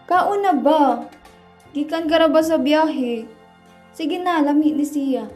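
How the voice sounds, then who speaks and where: A woman's voice: high voice, slow pace, a little mellow, faint music behind. A woman acting as an affectionate but nagging young girlfriend in a radio drama. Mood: coaxing, impatient.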